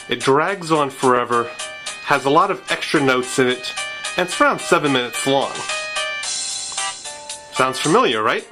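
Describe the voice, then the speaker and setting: A man's voice: mid-range voice, pushed and bright. Nasal man narrating a wry tutorial. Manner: wry, joking. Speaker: video creator. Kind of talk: self-deprecating tutorial narration.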